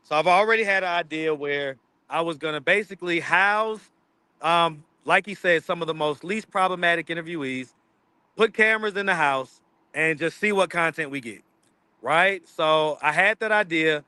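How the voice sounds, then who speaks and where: Guy on a livestream, slight lisp, chatty, sharp bright tone. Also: on the high side, normal speed, clean tone, dry recording. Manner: chatty, reads out viewer comments and answers them. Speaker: livestream host. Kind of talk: casual livestream chat. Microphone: streaming mic.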